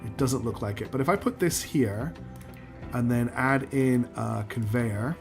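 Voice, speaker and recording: A man streaming a factory-building game, losing his voice and clearing his throat, talking himself through each step and answering chat. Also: mid-range voice, unhurried, over music. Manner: talks himself through building steps, counts out loud, asks himself questions; answers chat messages as he plays. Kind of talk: live gameplay commentary, thinking aloud.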